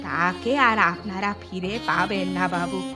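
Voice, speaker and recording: A woman's voice: fairly low pitch, fairly even intonation, unhurried, pushed and bright, soft background music. A woman playing an earnest, deferential village local who gives warnings in a horror cartoon. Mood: grave warning.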